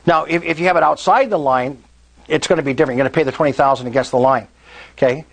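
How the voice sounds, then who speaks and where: A man's voice: a little high, quick pace, creaky. A man hosting a real estate meetup, explaining to a live audience and often checking in with "right?" and "okay?". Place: meeting room.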